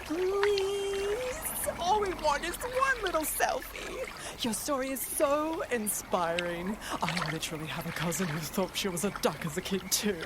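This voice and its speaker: A woman's voice: high voice, big pitch swings, unhurried, hardly any pauses. Woman acting dramatic, swooping female waterfowl fan. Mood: starstruck, pleading. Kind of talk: comedic audio drama acting. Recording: loud watery background noise.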